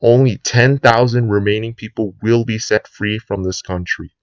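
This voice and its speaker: A man playing a sinister US president who gives a grave, deliberate address to the nation in an emergency broadcast drama. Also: on the low side, no room sound, lo-fi sound, lightly acted. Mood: grave, menacing. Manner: grave, deliberate speechmaking with drawn-out ominous phrases.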